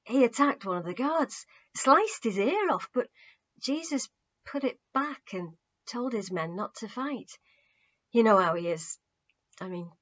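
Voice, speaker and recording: A woman's voice: a little high, expressive, a little slow, a bit bright, voice pushed up. A woman voicing an earnest, shamefaced young man giving bad news plainly and with regret, on a muffled recording. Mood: urgent.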